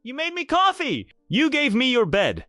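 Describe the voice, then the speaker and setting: A man's voice: very high voice, wildly swooping pitch, moderate pace, sharp tone, no echo, clean studio sound, soft background music, voice pushed up, exaggerated voice. A man narrating a romantic drama voices a passionate, devoted young businesswoman in love, pleading and emotional. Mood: tender, grateful. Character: passionate, devoted female Seoul CEO in love.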